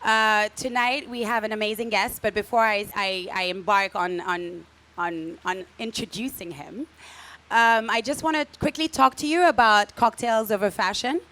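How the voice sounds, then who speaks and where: A woman hosting a live fashion talk in front of an audience, with a middle-pitched voice. She speaks haltingly, often repeating small words before she finds her phrase. Her delivery is friendly and conversational. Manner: hesitant, repeats words, warm welcoming tone. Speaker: host. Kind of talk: live event interview. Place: event space with live audience.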